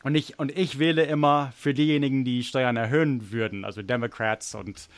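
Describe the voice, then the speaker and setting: A man's voice: medium pitch, fairly even intonation, brisk, no echo. American man, native English speaker, podcast co-host, chatty, self-deprecating, dry close sound. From United States. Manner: chatty, self-deprecating, frequent restarts and fillers. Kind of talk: casual chat.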